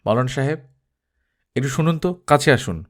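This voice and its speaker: A cool, commanding film director, played by a male storyteller, speaks with unruffled politeness and a sardonic edge. His medium-pitched voice stays controlled, in audiobook style. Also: lively intonation, normal speed, close to an everyday voice. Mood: quietly commanding. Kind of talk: audiobook character acting.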